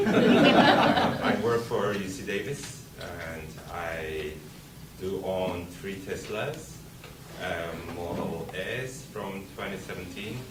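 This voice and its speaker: A man introducing himself at a meeting with a relaxed, dry humor. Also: low-pitched. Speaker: meeting attendee. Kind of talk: casual self-introduction. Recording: distant voice in a noisy, echoey room.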